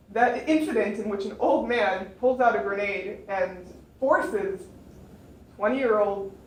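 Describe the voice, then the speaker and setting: A young woman on a panel, earnestly telling the audience about her experience. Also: medium pitch. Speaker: panel speaker. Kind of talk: personal account to an audience. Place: lecture room. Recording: echoey room, mic far off, faint noise.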